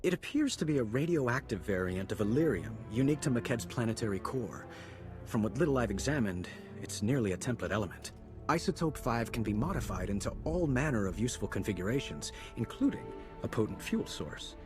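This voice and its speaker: A man's voice: medium pitch, very animated, fairly quick, music in the background, lightly acted. A man voicing an enthusiastic, nerdy engineer in a sci-fi game, talking in technical terms. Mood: explanatory. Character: eager, geeky top engineer. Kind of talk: scripted game dialogue.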